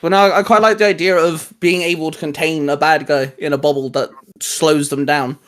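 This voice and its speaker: Male host for a casual geek chat, slightly high voice, rambling and hesitant delivery. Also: steady tone, moderate pace, a bit bright. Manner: hesitant, rambling, repeats himself. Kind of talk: casual fan discussion chat.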